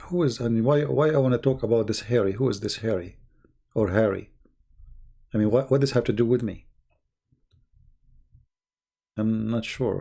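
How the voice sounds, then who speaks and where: A man who speaks English as a second language, chatting casually and telling stories on a live stream in a halting, rambling way, often clearing his throat. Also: fairly low pitch, dark tone. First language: not English.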